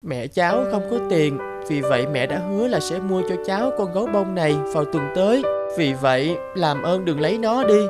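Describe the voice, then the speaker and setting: A man's voice: high voice, expressive, fairly quick, hardly any pauses, over loud music. A man voicing an innocent little boy making a sincere plea in a cartoon. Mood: pleading. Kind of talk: cartoon voice-over of a written plea.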